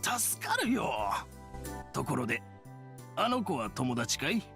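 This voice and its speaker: Very animated older shopkeeper. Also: male voice, medium pitch, moderate pace, creaky, over music. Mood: curious, casual. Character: friendly older male shopkeeper, district chairman. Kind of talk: Japanese game voice acting.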